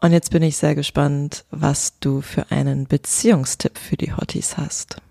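A woman co-hosts a casual podcast and talks in a frank, chatty way, laughing often. Her voice has a gravelly edge and sounds dry and close to the mic. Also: sits low, steady tone, measured pace, warm tone, dry recording. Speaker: podcast co-host.

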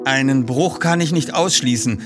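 A man voicing a precocious, composed and persistent teenage boy detective in an audiobook, with a formal, drawn-out, know-it-all delivery. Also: medium pitch, music in the background, natural voice. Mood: matter-of-fact. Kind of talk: audiobook dialogue.

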